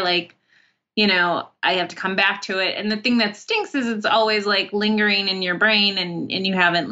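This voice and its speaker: A woman's voice: lowish voice, highs cut off. A woman chatting casually as an interview guest, telling stories in a rambling way full of asides. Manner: rambling, animated storytelling with many 'like' asides. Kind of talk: casual chat.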